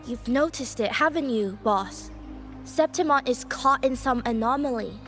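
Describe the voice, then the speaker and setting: A woman's voice: high voice, moderate pace, a bit bright, faint music behind. An actress playing a sly, playful young female Taoist in a game, speaking in a teasing, cryptic, breezy way. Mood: knowing. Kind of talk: scripted game voice acting.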